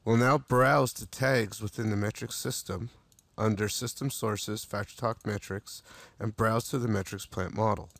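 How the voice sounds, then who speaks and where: A man narrating a screen-recorded software tutorial, walking through each step in a steady way with small restarts. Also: lowish voice, normal speed, dry recording.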